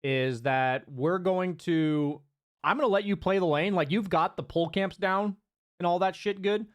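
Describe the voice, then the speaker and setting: A man's voice: high voice, moderate pace, clear, resonant tone. Chatty, laid-back male game coach. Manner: loose and chatty, many fillers, casual swearing. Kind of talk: casual one-on-one coaching. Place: streaming from home.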